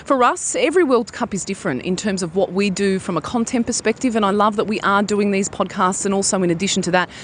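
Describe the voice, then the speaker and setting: A woman's voice: medium pitch, fast talker, few pauses. Woman, sports broadcaster, earnest and fluent, light background noise outdoors. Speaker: football broadcaster. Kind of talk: interview answers.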